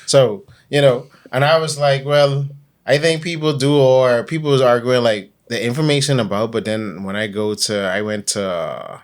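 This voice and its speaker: A man's voice: medium pitch, normal speed. Bahamian man hosting a casual interview. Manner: informal, thinks aloud, interjects agreement. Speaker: podcast host, interviewer.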